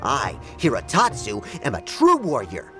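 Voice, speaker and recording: Haughty samurai warrior, blunt and scornful, animated pitch, mid-range male voice, video game dialogue, light music. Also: unhurried. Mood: proud, dismissive.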